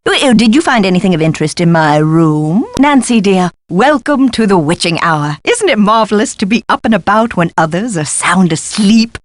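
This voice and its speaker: An eccentric lady history scholar played by a woman for an adventure game, recorded close and dry. Her pitch swoops about in a lively way as she gushes and fusses. The delivery is pompous and theatrical, with precise diction. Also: moderate pace, hardly any pauses, dry recording, close to an everyday voice. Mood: chirpy, excited. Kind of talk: scripted video game dialogue.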